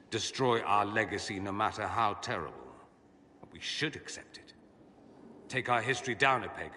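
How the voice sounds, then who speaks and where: A man's voice: on the low side, sharp tone, slight background noise. An actor playing an earnest male mage, proud but reflective, who often drops his voice to a murmur. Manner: thoughtful, often dropping to a murmur. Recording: long reverb with faint ambience.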